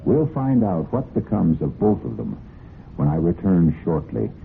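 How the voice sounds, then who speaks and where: Deep, theatrical male radio mystery host, vintage sound. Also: sing-song, gravelly. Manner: deliberate, theatrical, ominous. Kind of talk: scripted dramatic narration, old-time radio. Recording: muffled vintage radio with music.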